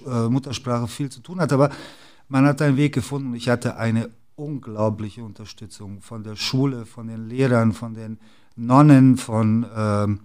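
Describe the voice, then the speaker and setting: A man's voice: medium pitch, steady tone, normal speed, a little mellow, clean tone. A middle-aged man from the former Yugoslavia, a guest in an interview, who talks with many fillers and restarts. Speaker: interview guest, basketball club executive. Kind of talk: casual interview talk.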